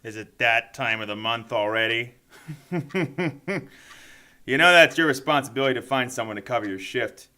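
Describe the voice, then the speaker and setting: An actor playing a pompous, petty fast-food manager in an audio drama, with an officious, blustering manner. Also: male voice, medium pitch, bright, forceful tone, close to an everyday voice. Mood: smug, needling.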